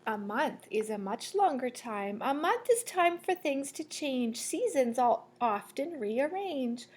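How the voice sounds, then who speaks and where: A woman reading a children's picture book aloud like a teacher, in a measured, sing-song storytelling way. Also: high voice, animated, a little mellow. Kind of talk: children's read-aloud storytelling with asides to listeners.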